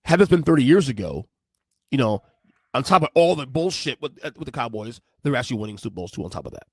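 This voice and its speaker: A man's voice: mid-range voice, animated, fast talker, darkish tone, slightly rough, no echo. A man in his forties hosting a podcast, giving rambling, emphatic commentary on video clips and often repeating himself. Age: 40s. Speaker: podcast host.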